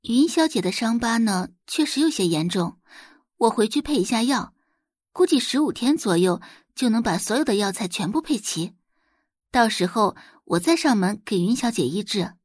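A woman playing a poised young female doctor in an audio drama, polite, measured and self-assured. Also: on the high side, lively intonation, darkish tone, no room sound, clean studio sound. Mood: composed, modest.

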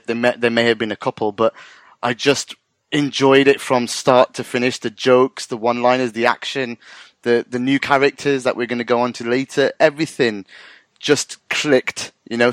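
A man, co-hosting a podcast in casual chat, excitable and quick to swear and laugh. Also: mid-range voice, no echo. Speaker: podcast co-host.

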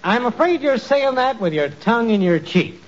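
A man's voice: very high-pitched, very animated, moderate pace. An actor playing an effusive, wheedling, falsely chummy male con man in 1940s radio comedy style. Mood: gushing, falsely chummy. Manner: effusive, theatrical, ingratiating. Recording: muffled, hissy 1940s radio recording.

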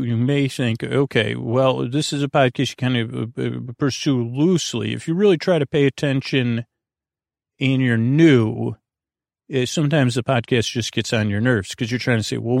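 A man hosting a sleep podcast in a meandering, halting monologue, often stammering and trailing off, with a creaky, droning voice recorded in a small closet. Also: mid-range voice, a little mellow, no echo, studio quality. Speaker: podcast host. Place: small closet under the stairs.

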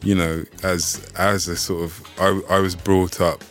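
Male interview guest raised in Austria, deep voice, reflective and halting delivery. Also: flat delivery, fairly bright tone, soft background music. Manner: reflective, halting, many restarts. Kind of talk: casual conversational interview.

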